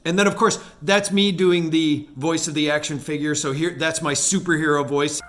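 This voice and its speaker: A man gives casual, rambling commentary on his own animation work. His voice sits a little high for a man. He sounds amused by himself as he goes. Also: steady tone, normal speed, a bit bright, faint music behind. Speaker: animator and video host.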